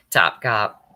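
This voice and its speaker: A woman with a low, raspy voice talks on her own live stream. Her tone is bright and forward-placed despite the rasp. She keeps it chatty and loose, answering viewers' comments one by one. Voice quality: deep raspy voice. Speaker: true crime livestreamer. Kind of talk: casual live stream chat.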